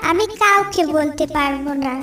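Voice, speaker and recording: A woman playing a clever little cartoon bird with a piercing, very high childlike voice that lilts in a sing-song way as she coaxes and bosses. The voice sits far from the mic in an echoey room, with cheerful music playing clearly underneath. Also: moderate pace, pushed and bright. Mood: bossy. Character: clever little female bird, sweet and cunning. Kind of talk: cartoon voice acting.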